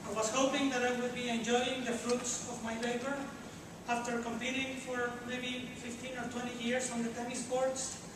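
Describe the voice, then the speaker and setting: A man's voice: very high voice, level tone, background noise. Mexican-accented man, echoey far-off hall. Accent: Mexican Spanish. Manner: measured and earnest, frequent "uh" pauses. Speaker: conference panelist, HR executive. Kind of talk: prepared conference presentation. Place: hotel meeting room with a seated audience. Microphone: room mic from a far camera, standing and unmiked.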